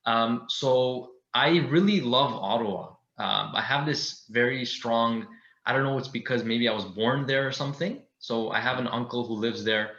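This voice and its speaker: A man with a bright, forward voice talks in a soft-spoken, easygoing way. He is heard over a video call, with a roomy echo and a dull, muffled audio quality. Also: medium pitch, moderate pace. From Canada. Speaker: imam, interview guest.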